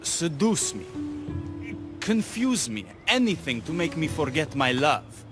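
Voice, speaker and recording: An actor playing a wry, lovelorn young rebel in a video game, speaking in a flowery, sardonic way. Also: male voice, on the high side, sing-song, a little slow, music in the background. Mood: wary. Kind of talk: video game cutscene acting.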